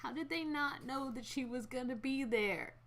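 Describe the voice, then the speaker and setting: A woman's voice: sits high, moderate pace, a bit bright. A young woman reading a story game aloud with a friend, playful and giggly between lines.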